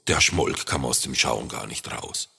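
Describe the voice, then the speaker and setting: A man's voice: extremely low pitch, unhurried, creaky, pushed and bright, slightly rough. A man narrating a children's story expressively and playfully, speaking straight to young listeners. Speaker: author and storyteller. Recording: long reverb.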